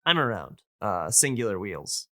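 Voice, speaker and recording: A man talking casually and enthusiastically as a podcast guest, explaining things in a rambling, halting way. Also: fairly low pitch, sing-song, bright, forceful tone, no echo, clean studio sound. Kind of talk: casual, enthusiastic info-dumping podcast chat.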